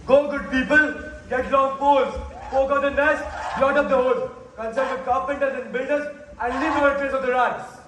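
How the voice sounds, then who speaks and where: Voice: high voice, steady tone, moderate pace, background noise, lightly acted. A young student playing a pompous, scheming town mayor in a verse stage play, blustering and evasive. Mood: commanding, flustered. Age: teenager or younger student. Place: school stage in a large hall. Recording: very echoey hall from far off, music.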